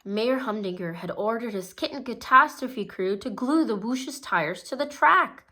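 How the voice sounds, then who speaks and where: A woman reading a children's storybook aloud in a gentle, steady storytelling manner, with small slips now and then. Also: a little high, a little slow. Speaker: storybook reader. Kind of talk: children's read-aloud narration.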